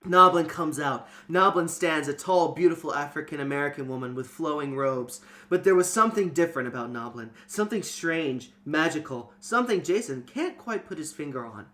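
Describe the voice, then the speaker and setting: A narrator reading a story aloud for amateur reader's theater in a deliberate, slightly halting way. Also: medium pitch, animated, a bit bright.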